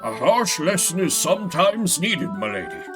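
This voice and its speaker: A man voicing a deferential mouse lore master in a video game, formal, measured and courtly. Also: sits high, very animated, over music, pitched above the performer's own voice. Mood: deferential, advising.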